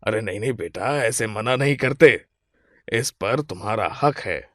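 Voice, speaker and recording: A man voicing a gentle, generous elderly father-in-law in a moral story, soft and fatherly. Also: fairly low pitch, unhurried, no room sound, studio quality, voice pushed down, lightly acted. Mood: affectionate. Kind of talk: Hindi moral-story character acting.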